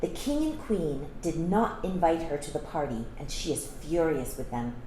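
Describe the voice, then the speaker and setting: A woman with a slightly low voice reads a children's story aloud as a storytime reader. She speaks in a gentle, teacherly way to young listeners. The room sounds very echoey, with some faint noise in the recording. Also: very animated, moderate pace. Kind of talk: read-aloud children's storytelling with explanatory asides. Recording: roomy echo, some background noise.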